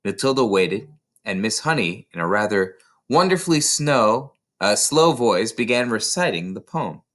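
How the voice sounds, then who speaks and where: A man's voice: lowish voice, very animated, measured pace, fairly bright tone. A man reading a children's novel aloud as scripted narration. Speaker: storybook reader.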